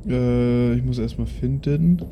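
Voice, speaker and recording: A man's voice: mid-range voice, slow, mellow, a little hiss or hum. A man chatting casually as a player in a tabletop game. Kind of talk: casual chat.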